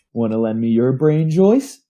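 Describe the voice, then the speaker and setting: A woman playing an obsessive, taunting young man who builds robots, husky-voiced, in a retro radio drama. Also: very deep voice, warm tone, muffled recording, full character voice.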